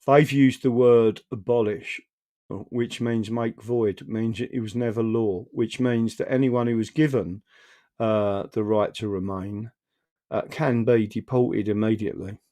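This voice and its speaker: A man's voice: lowish voice, fairly even intonation, a little slow, mellow. A man co-hosting political commentary, explaining carefully with hesitations. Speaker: co-host.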